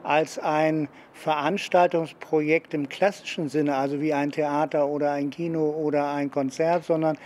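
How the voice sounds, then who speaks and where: Interviewee, man in his 60s, higher-pitched, halting unscripted answers, faint outdoor noise. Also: level tone, a little mellow. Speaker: cultural centre managing director interviewee. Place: outdoor courtyard.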